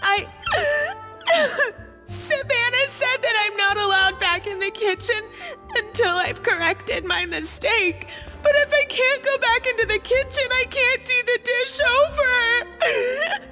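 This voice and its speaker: An actress playing an anxious, apologetic young female junior cook in a game, with a flustered, halting way of talking. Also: very high voice, sharp tone, long reverb tail, faint music behind, exaggerated voice. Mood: tearful. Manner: flustered, stammering pleading. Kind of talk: anime-style game voice acting.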